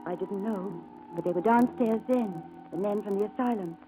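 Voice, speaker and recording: A woman's voice: mid-range voice, normal speed, dark tone, close to an everyday voice, rough recording. An actress playing a devoted, spirited young woman in a 1940s radio drama, speaking in an earnest, pleading and effusive way. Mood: wistful narration. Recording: old radio broadcast with music behind.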